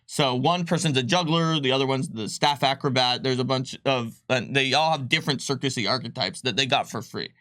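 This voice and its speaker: A man's voice: medium pitch, fairly quick, few pauses, pushed and bright, dry recording. A man casually explaining tabletop game rules on a livestream, rambling with lots of likes and ums. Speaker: game designer presenting rules.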